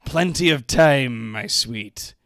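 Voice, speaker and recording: A lecherous lord of the manor, as a man acts him out in a theatrical fantasy reading. He is pompous and suggestive, with a smooth, unhurried delivery. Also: mid-range voice, expressive, a bit bright, no echo, studio quality, pitched above the performer's own voice. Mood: leering. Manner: suggestive, pompous, slow wooing.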